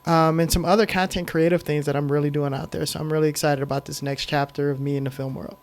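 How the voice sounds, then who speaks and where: A middle-aged man speaking as a guest on a podcast, with a fairly high voice for a man. He tells his story in an emphatic, animated way and leans on frequent "um"s as he goes. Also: quick pace. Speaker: podcast guest, film director. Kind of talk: casual storytelling interview.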